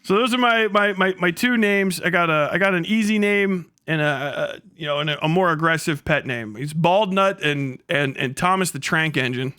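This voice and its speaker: Bright, high-voiced male livestreamer. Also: normal speed, hardly any pauses, clean tone, no echo, clean studio sound. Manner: profane, rambling, stammering, amused. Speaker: live streamer, host of the channel. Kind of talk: casual livestream commentary with reading aloud.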